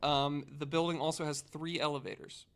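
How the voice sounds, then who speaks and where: A man's voice: fairly high pitch, flat delivery, fairly quick, a bit bright, clean tone. A man on a casual podcast panel, presenting researched facts in a conversational way and partly reading from notes.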